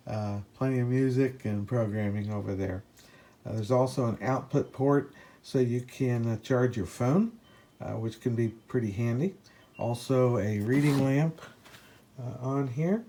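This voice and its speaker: A man with a mid-pitched voice talks through a gadget review in a casual, unscripted way. His delivery is hesitant and halting, like a hobbyist explaining gear to viewers. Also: warm tone. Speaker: product reviewer and ham radio hobbyist. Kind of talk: casual product review to camera.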